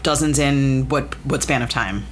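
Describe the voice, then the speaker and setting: A woman interviewing as a podcast host, thoughtful and halting as she feels out her phrasing. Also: very deep voice, a little hiss or hum. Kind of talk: conversational interviewing.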